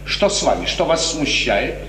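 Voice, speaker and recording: An actor playing an imperious, suave prince, commanding and condescendingly reassuring, in the theatrical style of 1950s radio. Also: male voice, medium pitch, very animated, normal speed, close to an everyday voice. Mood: concerned, knowing. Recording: echoing hall, old noisy radio recording.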